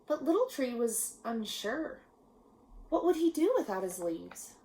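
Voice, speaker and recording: A woman reading a children's picture book aloud in a measured, expressive storytelling manner with pauses. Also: fairly high pitch. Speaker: storybook reader. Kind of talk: children's read-aloud narration.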